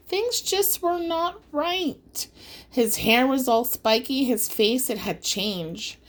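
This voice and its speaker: A woman reading a rhyming children's story aloud as an audiobook, in a dramatic, singsong storytelling manner. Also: high voice. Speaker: audiobook reader.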